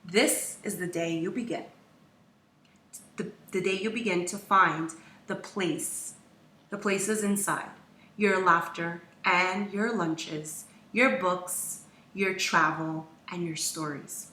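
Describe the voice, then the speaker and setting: A woman reading a children's storybook aloud expressively, with measured phrasing and dramatic pauses. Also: on the low side, steady tone, bright, forceful tone. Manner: measured, dramatic pauses, acts out quoted lines. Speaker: teacher reading a picture book aloud. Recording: roomy echo, distant from mic.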